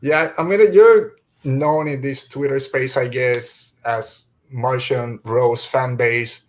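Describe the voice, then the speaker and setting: A man hosts a podcast in loose, conversational fashion. He rambles a little and slips jokes in as he goes, at an ordinary middle pitch. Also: steady tone, takes it slowly. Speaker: podcast host. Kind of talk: casual podcast chat.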